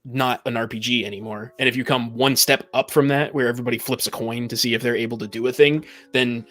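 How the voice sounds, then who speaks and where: A man's voice: mid-range voice, fairly even intonation, fast talker, fairly bright tone. A man giving emphatic, opinionated views in a casual podcast discussion of tabletop games. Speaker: podcast panelist.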